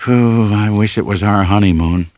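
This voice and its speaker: Deep-voiced earnest young shopkeeper, read by a man. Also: moderate pace, darkish tone, no echo, studio quality, natural voice. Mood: wistful. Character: earnest young hardware-store owner in love, debt-burdened.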